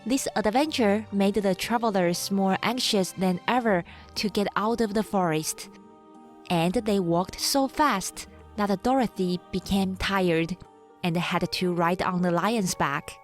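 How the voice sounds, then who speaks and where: A woman's voice: mid-range voice, unhurried. A woman narrating a children's story from a script as an audiobook reader. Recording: music behind the voice.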